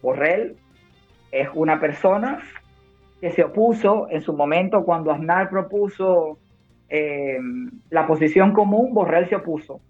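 A woman's voice: fairly low pitch, measured pace, slightly rough, faint music behind. A woman from Cuba, a war correspondent and nurse, speaking emphatically and with urgency in long turns during a phone interview. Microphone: phone call. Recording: tinny phone line.

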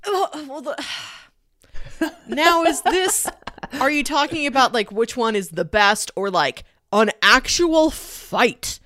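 A woman's voice: high voice, lively intonation, takes it slowly, pushed and bright, dry recording, studio quality. A woman co-hosting a podcast, talking casually about films with a conversational, playful storytelling manner. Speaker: podcast co-host. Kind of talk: casual film discussion.

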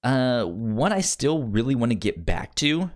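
A man's voice: mid-range voice, big pitch swings, unhurried. A man hosting a podcast in casual review chat, rambling a little as he thinks aloud. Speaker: podcast host.

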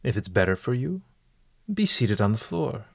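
A man narrating a scripted guided meditation as host, with formal, deliberate, ornate phrasing. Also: medium pitch, very animated, vocal fry, dry recording, studio quality.

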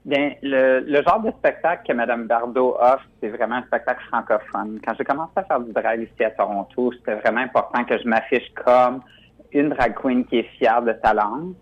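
A man speaks over a phone line that sounds muffled and slightly dull. He talks in a relaxed, chatty way, pausing on fillers and restarting phrases. His pitch sits in a middle range. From Quebec. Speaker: drag performer guest. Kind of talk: phone interview.

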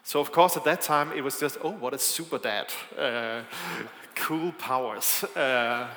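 A man's voice: medium pitch, measured pace, bright, forceful tone. A man from Denmark with a Danish accent, preaching a sermon with hesitant fillers and light asides. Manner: hesitant, with frequent fillers and self-deprecating asides. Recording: echoey hall with long reverb.

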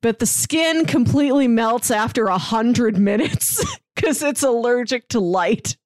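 A woman in her thirties with a fairly high voice, recorded dry and close. She co-hosts a casual pop culture podcast and talks in wry, rambling asides. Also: animated, a little slow, no room sound. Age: 30s. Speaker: podcast co-host.

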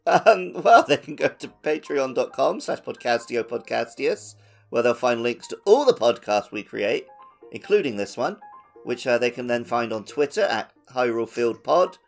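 A man chats informally as co-host of a gaming podcast. His mid-range voice has some fry in it, and his delivery is playful and self-mocking, with faint music behind him. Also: mid-range voice, very animated, normal speed. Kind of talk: casual gaming podcast chat.

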